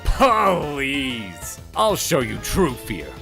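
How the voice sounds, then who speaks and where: Pompous male cartoon villain, taunting, touchy, hugely animated intonation, music bed. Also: mid-range voice, extremely slow, vocal fry, exaggerated voice. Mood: mocking, menacing. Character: cocky, theatrical cartoon villain, easily offended. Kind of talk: over-the-top cartoon parody acting. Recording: music under the voice.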